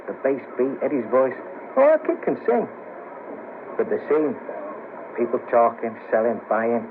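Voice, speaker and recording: A man's voice: medium pitch, big pitch swings, measured pace, narrow, tinny audio. An actor playing a rueful, easily shocked ex band manager down on his luck in a 1970s radio play, talking in hesitant, clipped phrases on a noisy old cassette recording. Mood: wistful, disillusioned.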